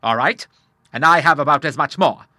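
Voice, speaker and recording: Man voices a hearty retired admiral in his sixties, loud and cheerful, dry close read. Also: fairly high pitch, normal speed, no echo. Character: jovial old retired male naval admiral.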